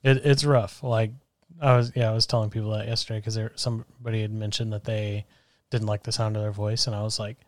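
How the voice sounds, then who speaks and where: A man with a deep voice co-hosting a podcast, talking in a loose, rambling, self-deprecating way through a mic and soundboard at home. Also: flat delivery, fairly quick, no echo. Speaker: podcast co-host. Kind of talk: casual chat. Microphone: microphone through a soundboard.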